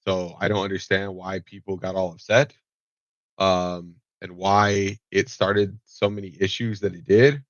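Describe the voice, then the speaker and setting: A man talks in a low voice, stuffed up and a bit nasal from allergies, tossing in ums, restarts and the odd curse as he reacts on camera. The sound is dry and close, with a dull, lo-fi home microphone quality. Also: level tone, measured pace, no echo. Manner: rambling with many ums and restarts, swears casually. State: nasal from allergies, slight cough. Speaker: commentary vlogger. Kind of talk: casual reaction commentary.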